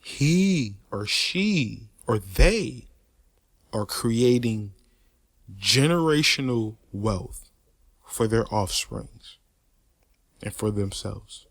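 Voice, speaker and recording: A man talks through a long, heated opinion rant at an average pitch. He repeats and restarts words as he pushes his points and leans on street slang and swearing. The recording is dry and close, with no room sound. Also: drawn-out words, creaky, long pauses, dry recording. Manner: animated, emphatic and repetitive, with frequent restarts and stutters. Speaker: host of a personal commentary channel.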